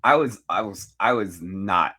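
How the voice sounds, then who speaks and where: A man co-hosting a chatty podcast, hoarse from being sick, with a deep baritone voice and a rambling, animated way of telling stories. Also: unhurried. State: hoarse from illness. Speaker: podcast co-host. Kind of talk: casual chat. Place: Miami.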